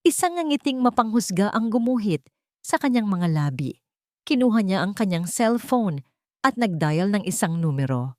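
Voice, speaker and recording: A woman's voice: medium pitch, sing-song, normal speed, a little mellow, dry recording, studio quality. A woman narrator reading a scripted romance story in a dramatic storytelling style.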